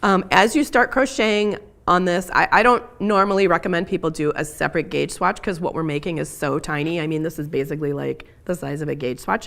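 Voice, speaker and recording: Hoarse middle-aged woman, raspy, lowish voice, chatty live crochet teacher. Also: fairly bright tone. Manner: chatty, with frequent ums and self-corrections. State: hoarse from illness. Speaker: crochet instructor. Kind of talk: live craft tutorial.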